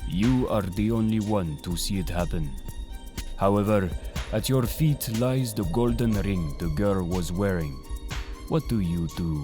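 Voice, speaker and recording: A man's voice: on the low side, measured pace, natural voice. A man playing a solemn, menacing mystical Egyptian avenger in an audio drama, speaking in a grave, portentous manner. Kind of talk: dramatic audio manga acting. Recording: voice over prominent dramatic music.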